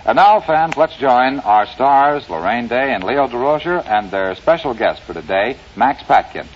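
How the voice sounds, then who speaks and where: A man's voice: mid-range voice, expressive, measured pace. A man hosting and interviewing in a polished, formal 1950s radio announcer style. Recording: dull, noisy old radio recording.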